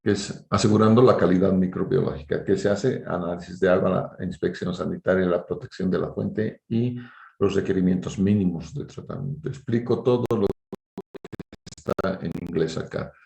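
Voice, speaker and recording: A man's voice: low voice, normal speed, gravelly, dark tone. A man lecturing informally to students in a didactic way, often checking in with short tag questions. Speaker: university engineering lecturer. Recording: roomy, echoing online class audio.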